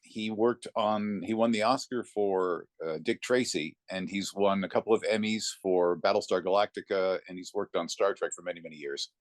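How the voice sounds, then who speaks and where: A man's voice: low-pitched, normal speed, hardly any pauses, no echo. A middle-aged or older man, a voice actor, telling anecdotes casually as an interview guest.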